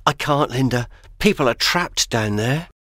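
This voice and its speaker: A man's voice: mid-range voice, animated, unhurried, sharp tone, no room sound, clean studio sound. A man playing a weary, selfless and determined young hero in a dramatised audiobook. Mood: sad.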